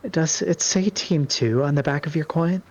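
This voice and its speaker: A man's voice: fairly high pitch, normal speed, darkish tone, voice pushed up. A man voicing a polite, earnest young goddess with a formal, courteous manner and a touch of steel.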